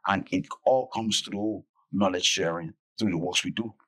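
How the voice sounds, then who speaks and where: A man explaining things phrase by phrase in a measured, conversational interview manner. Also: low voice, steady tone, fairly bright tone, breathy edge. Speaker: podcast guest, festival director.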